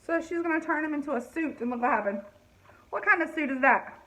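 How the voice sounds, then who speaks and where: A woman reading a picture book aloud to children, pausing to explain the story and ask questions. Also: sits high, sharp tone, poor audio. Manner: explanatory, asks listeners questions.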